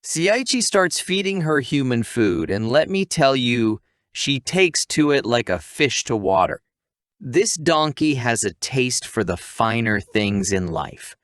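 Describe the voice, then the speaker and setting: A man's voice: medium pitch, very animated, slow pace, a bit bright, no room sound, studio quality. A man narrating a scripted story recap, with casual asides to the listener.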